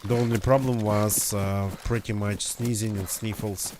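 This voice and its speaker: A man's voice: on the low side, flat delivery, normal speed, noisy background. A man streaming a survival game with casual, rambling commentary, his voice a little nasal and congested from a recent cold. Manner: rambling, self-correcting.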